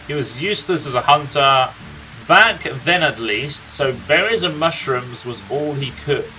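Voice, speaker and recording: A man voices a gruff, irritable older villager from a medieval game. He tells his tale bluntly in a forceful, fairly high male voice, with music underneath. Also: animated, unhurried, heavily acted, poor audio. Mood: dramatic storytelling. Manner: grumpy, blunt, storytelling. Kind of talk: acted reading of video game dialogue.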